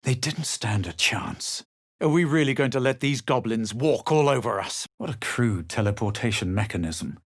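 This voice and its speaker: A self-assured young male adventurer from a fantasy role-playing game. The voice is husky and recorded very dry, with a dramatic, superior manner that suits both literary narration and sharp exclamations. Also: mid-range voice, lively intonation, normal speed, no echo. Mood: measured, weary.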